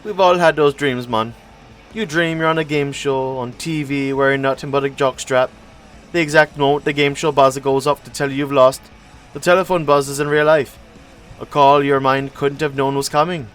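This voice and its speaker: A man voicing a mysterious male street psychic who fakes a Jamaican accent, taunting with smooth, unsettling prophecies in an audiobook. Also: medium pitch, steady tone, normal speed, fairly bright tone, voice pushed up, natural voice. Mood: dreamy. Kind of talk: audiobook character voice.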